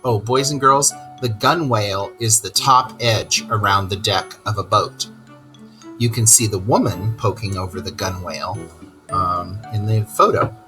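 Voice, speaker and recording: A woman reading a story aloud to children as an expressive narrator who explains things. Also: extremely low pitch, a little slow, over music. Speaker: storybook reader.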